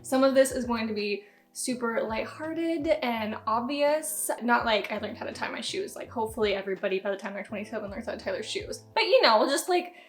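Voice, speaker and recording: Young woman, late twenties, chatty upbeat vlogger, slightly high voice. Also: expressive, brisk. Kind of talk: casual chat to camera. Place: home, sitting down.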